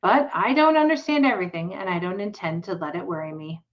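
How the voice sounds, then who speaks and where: A woman reading the part of a calm, thoughtful old country doctor in a storybook. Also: fairly low pitch, fast, highs cut off, lightly acted. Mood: musing.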